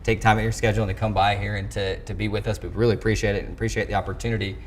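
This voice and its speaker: A man hosting a sports show, speaking in a somewhat halting way with repeated words. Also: on the low side, flat delivery, a little hiss or hum. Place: studio set.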